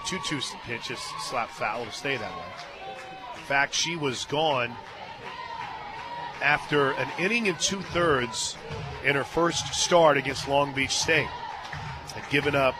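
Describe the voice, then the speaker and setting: An American man calling live softball on the radio as play-by-play announcer, with folksy, joking banter. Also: medium pitch. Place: stadium radio booth.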